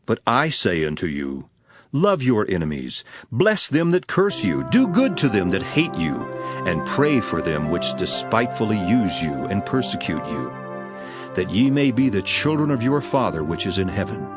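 Authoritative scripture preacher, low-pitched, solemn, declamatory, measured, emphatic, dramatized Bible reading, music underneath. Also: male voice, very animated.

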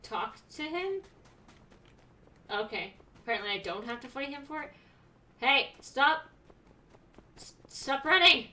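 Young woman streamer, snarky blunt commentary, bright sharp voice, mic a bit far off. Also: sits high, expressive. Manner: sarcastic, blunt, quick reactions. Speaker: gaming streamer. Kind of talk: casual let's-play commentary. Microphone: desk mic, webcam.

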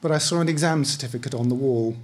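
A middle-aged man with a husky voice, reading a first-person short story aloud in a conversational, wry way. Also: medium pitch, fast. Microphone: microphone on stand, close.

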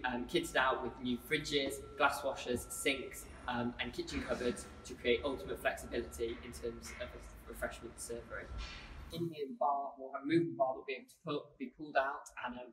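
Hesitant man explaining works in an echoey hall. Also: medium pitch. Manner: steady, explanatory, with frequent um fillers. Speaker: architect giving a site tour. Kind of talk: scripted walkthrough presentation. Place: large echoey hall under renovation, indoors. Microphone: camera mic from a few metres away. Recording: roomy echo, distant mic, faint background noise.

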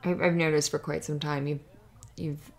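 A young woman voicing a friendly, bashful cheerleader in an intimate ASMR roleplay, talking in a halting, giggly, flustered way. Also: sits low, flat delivery, vocal fry, darkish tone. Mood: bashful, hesitant.

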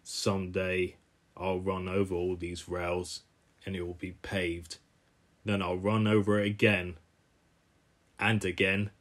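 A man's voice: sits low, level tone, slow pace, long pauses, fairly bright tone. A man playing a belligerent, boastful steamroller in a children's cartoon, blustering and combative. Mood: menacing. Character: belligerent, boastful male steamroller, bullying and obsessive. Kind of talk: amateur cartoon character voice reel.

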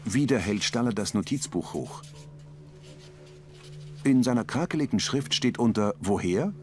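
A man reading scripted audio description in a measured, neutral way. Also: on the low side, lively intonation. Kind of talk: scripted narration.

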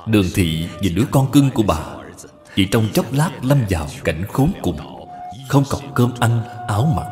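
A man's voice: on the low side, very animated, moderate pace. A man reading scripted story narration as the narrator. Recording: long reverb, music behind.

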